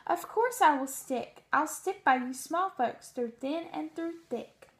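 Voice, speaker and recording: Woman voicing an earnest, urgent high-pitched storybook elephant. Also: expressive, a little slow, slight background noise. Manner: emphatic, urgent. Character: earnest, kindly, anxious male elephant pleading loudly.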